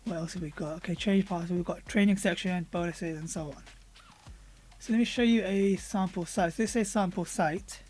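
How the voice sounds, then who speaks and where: Hoarse guy doing a casual screen-share walkthrough, lots of ums, easygoing. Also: high voice, a little fast. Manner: relaxed, conversational, with frequent fillers. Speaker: product reviewer. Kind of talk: screen-recorded software walkthrough.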